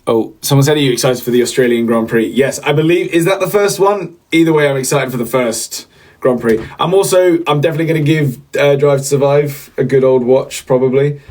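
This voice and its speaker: A man's voice: medium pitch, a little mellow. A young man hosting a casual livestream, chatting to viewers in a rambling way with lots of fillers and repeated words. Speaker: livestream host.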